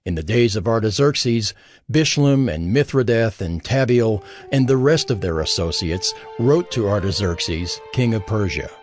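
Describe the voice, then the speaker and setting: A man narrates scripture in a solemn, expressive way. His pitch moves a lot as he reads. Soft music plays beneath his voice. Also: a little slow.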